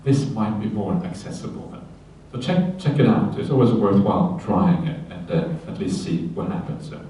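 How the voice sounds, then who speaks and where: A man, a Buddhist monk giving a relaxed dharma talk on a sutta, often checking in with his listeners and adding light humorous asides. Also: on the low side, moderate pace, slight background noise. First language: Norwegian. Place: retreat hall. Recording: very echoey hall, voice from a distance, faint ambience.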